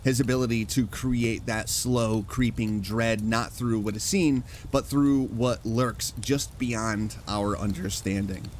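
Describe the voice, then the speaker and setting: A man hosting, telling a measured, scripted spooky introduction. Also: lowish voice, steady tone, a little hiss or hum. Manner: slow, measured storytelling. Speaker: host.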